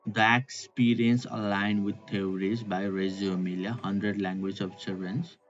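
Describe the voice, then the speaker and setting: Low-voiced man. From Nepal. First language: Nepali. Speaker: podcast guest. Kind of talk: reflective personal storytelling. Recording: dull lo-fi audio with faint background music.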